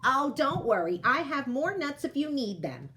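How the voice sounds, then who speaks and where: A woman's voice: sits high, big pitch swings, normal speed, sharp tone, exaggerated voice. A woman voicing a kindly, chatty old grandmother who fusses over and coaxes her guest in a storybook tale. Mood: kindly, fussing. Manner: fussing, coaxing, exclaiming.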